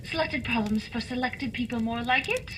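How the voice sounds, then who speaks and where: A woman's voice: fairly high pitch, normal speed, a bit bright, slight background noise, close to an everyday voice, low-quality recording. A woman playing a cheeky, sarcastic Victorian London housekeeper in a radio drama.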